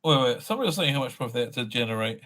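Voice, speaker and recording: A man's voice: mid-range voice, expressive, very fast, fairly bright tone. A man giving casual, unscripted online commentary, sweary, sarcastic and exasperated in manner. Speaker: online commentator reviewing audio drama releases.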